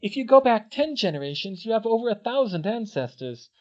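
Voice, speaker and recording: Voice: mid-range voice, very animated, brisk, highs cut off, voice pushed up, lightly acted. A reader voicing an earnest, insistent young girl student who speaks emphatically. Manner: emphatic, didactic, stammers when upset.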